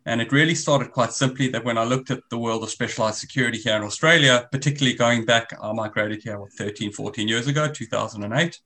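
A South African man in his forties talks on a remote call, his voice pitched in the ordinary middle range for a man. He explains in long, winding runs and often restarts his sentences. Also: quick pace, hardly any pauses, sharp tone. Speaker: guest, risk business founder. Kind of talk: conversational interview.

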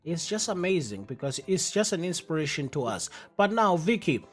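A male radio host interviewing a guest, enthusiastic and halting, with many fillers and restarts. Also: on the high side, very animated, slightly rough.

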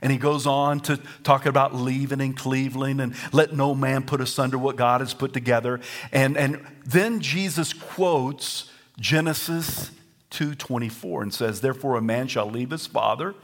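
A man with a Southern US accent preaching a sermon as pastor, lively and at times rising to near-shouts and singing. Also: mid-range voice, moderate pace.

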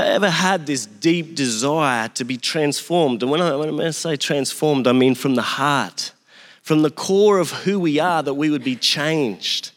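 A man in his forties giving a sermon as pastor, opening with prayer and telling self-deprecating stories in a conversational way. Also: on the high side. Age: 40s. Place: church hall. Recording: long hall reverb.

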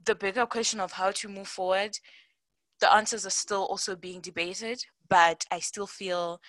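A woman's voice: medium pitch, fairly even intonation, moderate pace, fairly bright tone, dry recording, low-quality recording. A woman speaking on a discussion panel, thoughtful and given to rephrasing her points as she goes. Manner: thoughtful, rephrases mid-sentence.

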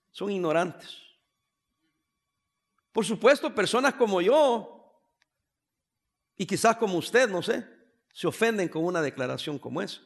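A man from El Salvador with a notably high voice, preaching a sermon to his congregation. He talks in a conversational way, dropping in humorous asides as he goes. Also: creaky, fairly bright tone. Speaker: pastor.

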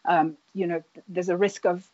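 A woman who grew up in Nigeria, an author answering interview questions thoughtfully, with many pauses and restarts. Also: fairly low pitch, little pitch movement, a little mellow, no room sound, dull audio. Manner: hesitant, many fillers and restarts. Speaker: author, guest.

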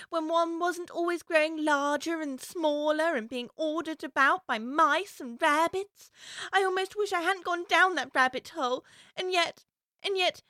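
Curious chatty little girl musing to herself, a woman voicing her, high and bright, dry sound. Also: dry recording, full character voice. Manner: musing aloud to herself, prim and wondering.

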